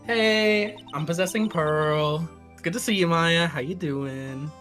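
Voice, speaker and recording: A woman voicing a gentle, reassuring older sister spirit in a comic fan dub. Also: lowish voice, a little slow, soft background music.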